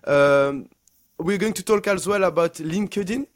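A man's voice: sits high, unhurried. A man, hosting and interviewing a guest on a podcast. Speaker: podcast host.

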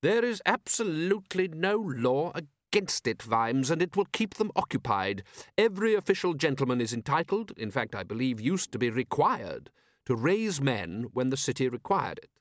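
A man's voice: medium pitch, very animated, moderate pace, no echo, studio quality, voice pushed up, lightly acted. A man voicing a shrewd, coolly commanding city ruler in an audiobook, precise, formal and sardonic. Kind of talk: audiobook character voice.

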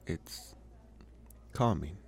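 A man playing a lonely, gentle young college vampire in an intimate ASMR roleplay, speaking softly and haltingly with pauses. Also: a little hiss or hum. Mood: relaxed, shy. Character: lonely, soft-spoken young male college vampire, politely devoted. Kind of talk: ASMR roleplay, intimate one-sided dialogue.

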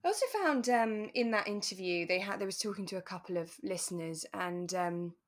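A woman co-hosting a podcast, chatting in a relaxed, reflective and sympathetic way. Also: medium pitch, a little fast. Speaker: podcast co-host. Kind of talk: relaxed chat discussing a radio drama.

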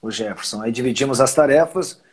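Husky fifty-year-old man, rambling chat. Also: medium pitch, brisk. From southern Brazil. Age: 50s. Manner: rambling, restarts phrases, chuckles often. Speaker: winery owner, guest. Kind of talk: casual conversational interview.

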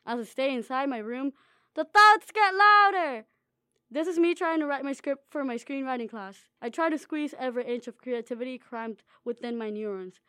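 A young woman with a very high, light voice reads her own personal essay aloud. Her delivery is wry and dreamy, and she pokes fun at herself. The recording is dry and close, with no room sound. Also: moderate pace, bright, forceful tone, clear, resonant tone, no echo. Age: young adult. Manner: wry, dreamy, playfully self-mocking. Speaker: student author reading her own essay.

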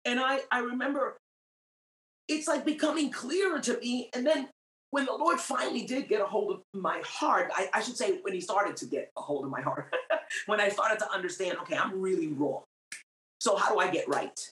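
A woman's voice: mid-range voice, normal speed. Earnest woman in an echoey room. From Puerto Rican, raised in New York. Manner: earnest, grows emphatic and animated. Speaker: guest, singer. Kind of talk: faith testimony in a podcast interview. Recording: roomy, echoey sound.

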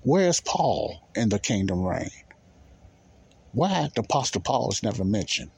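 A man's voice: fairly low pitch, sing-song, a little slow, gravelly, a little mellow, breathy edge. A man giving informal, emphatic Bible teaching as a podcast host, repeating key phrases and posing rhetorical questions.

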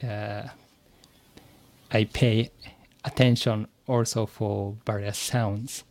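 Slow, hesitant Japanese man with creaky voice. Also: on the low side, level tone, darkish tone. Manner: hesitant, searching for words, halting phrases. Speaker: podcast guest, English learner. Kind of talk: interview answers in careful second-language English.

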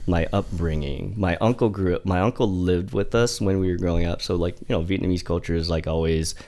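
A man's voice: deep voice, fairly quick, a little mellow. A man in his forties from Indiana, talking casually as a guest, rambling with many fillers and restarts. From Indiana, USA. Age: 40s. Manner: rambling, heavy use of "like" and restarts. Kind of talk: casual conversational interview.

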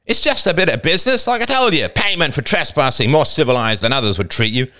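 A tall teenage bully with a raised, mocking voice, all sneers and taunts, as a man puts him on for a storybook reading. He swings between scornful drawl and sudden bellowing, loud and pushy. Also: on the high side, expressive, quick pace, voice pushed up. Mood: sneering.

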